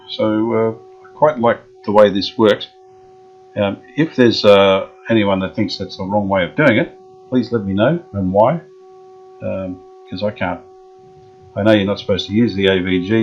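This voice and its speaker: A man restoring an old radio, thinking aloud in a halting, casual way with many pauses and fillers. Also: lowish voice, a little mellow, muffled recording. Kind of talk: hands-on workshop demonstration.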